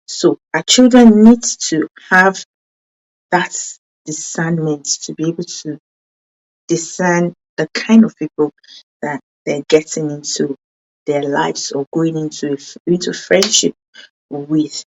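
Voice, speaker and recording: A woman's voice: slow pace, highs cut off. Earnest woman, Yoruba-speaking. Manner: earnest, self-correcting, with sudden rises in pitch for emphasis. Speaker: parenting channel host.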